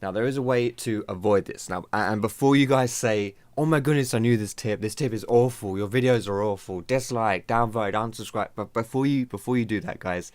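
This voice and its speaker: A man with a somewhat hoarse, croaky voice walks through a game tip in a loose, chatty way, often doubling back to fix his words. His voice sits fairly low, and it is recorded dry and close, with no room around it. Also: brisk, hardly any pauses, darkish tone, no echo. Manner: chatty, rambling with self-corrections. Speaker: game tutorial host. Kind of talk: casual gameplay tutorial commentary.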